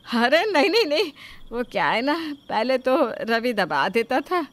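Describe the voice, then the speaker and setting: Lady doing a sly middle-aged saas, high voice, all sugary poor-me guilt trips, story drama. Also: big pitch swings, measured pace, a bit bright, heavily acted. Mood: self-pitying, sly. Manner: theatrically self-pitying and coaxing. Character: scheming middle-aged mother-in-law, sugary and guilt-tripping. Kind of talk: acted Hindi moral-story dialogue.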